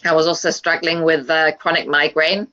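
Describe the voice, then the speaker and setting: A woman with a bright, forward voice answers in a relaxed panel chat, pausing often and filling gaps with ums. Her audio comes through dull and lo-fi, like a video call. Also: low-pitched. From South Africa. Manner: hesitant, many ums. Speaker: guest. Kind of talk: casual online panel chat.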